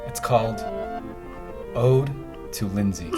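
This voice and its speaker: Man as a low, deadpan teenage boy. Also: takes it slowly, music in the background. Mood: aloof. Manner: deadpan, curt. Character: Paul, aloof, blunt teenage graffiti artist boy. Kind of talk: audio drama dialogue.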